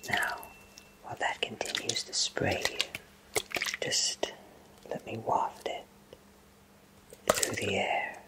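A man in his thirties voicing a kindly fantasy potion shopkeeper in a soothing, breathy ASMR whisper. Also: lightly acted, rough recording. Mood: soothing, hypnotic. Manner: slow, soothing, reassuring. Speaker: ASMR roleplayer.

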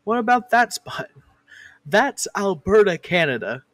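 Slow, mock-educational parody narrator. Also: male voice, high voice, very animated, fairly bright tone, dry recording. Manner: mock-educational, swears and breaks off to argue with a director. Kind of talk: comic fan parody narration.

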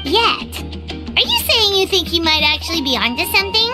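A woman's voice: extremely high pitch, big pitch swings, normal speed, over loud music. A woman voicing a tiny, chatty fairy girl companion in a video game, cutesy and inquisitive. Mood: curious.

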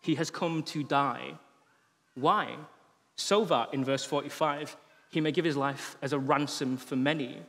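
A man's voice: on the high side, a little slow. Man preaching in a reverberant church hall. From England. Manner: conversational and humorous, with animated gestures. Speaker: pastor. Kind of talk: sermon. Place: large church hall, on stage. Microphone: headset mic.

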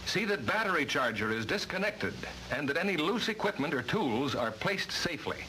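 A man's voice: a little high, very animated, brisk, pushed and bright, slight background noise, poor audio. A man reading formal, precise instructional narration for a vintage training film, on a worn old soundtrack.

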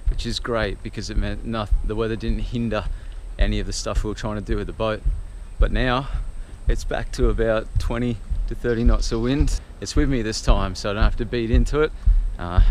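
A man in his thirties hosting a sailing vlog in a casual narrating style, recorded with outdoor noise. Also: fairly low pitch. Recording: clear outdoor background noise.

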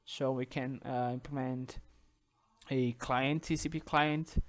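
A man whose first language is Portuguese, talking through a coding tutorial over a screen recording, halting with restarts and checking in with "okay?". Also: mid-range voice. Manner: halting, many restarts and "uh", tags sentences with "okay?". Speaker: programming tutor.

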